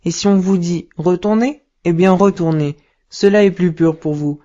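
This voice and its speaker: A woman voicing a patient, pious father in an educational cartoon, speaking in a measured, instructive way. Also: lowish voice, dark tone, muffled recording, lightly acted. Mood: calm, instructive. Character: calm, wise, pious father advising his young son. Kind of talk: didactic cartoon dialogue.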